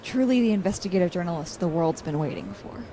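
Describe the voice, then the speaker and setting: A woman's voice: fairly low pitch, very animated, quick pace, warm tone. A woman playing a studious, guarded college student with a dry, slightly hesitant delivery, in naturalistic audio drama. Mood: wry, deadpan. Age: young adult.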